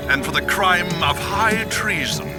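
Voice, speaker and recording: A man's voice: sits high, a little slow, over loud music. A man playing a cold, smug American senior government official in a video game, dismissive and authoritative.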